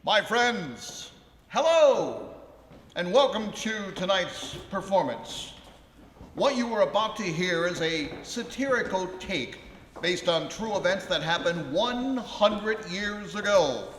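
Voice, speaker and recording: A man playing a theatrical 1920s actor who narrates a comic live radio play in a declamatory way with deliberate pauses. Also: sits high, very animated, a bit bright, a little hiss or hum, heavily acted. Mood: grand welcoming. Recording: live in echoey ballroom, long reverb.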